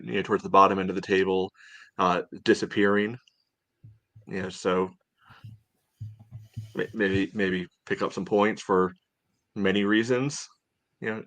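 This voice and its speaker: A man co-hosting a soccer podcast in casual discussion, thinking aloud in a halting way. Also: lowish voice, a bit bright.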